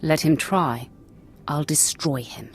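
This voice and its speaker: A woman's voice: deep voice, takes it slowly, slight background noise. A woman playing an arrogant young acolyte in a video game, cold, threatening and self-assured. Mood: menacing. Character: menacing, arrogant young female Sith acolyte. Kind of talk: dramatic video game dialogue.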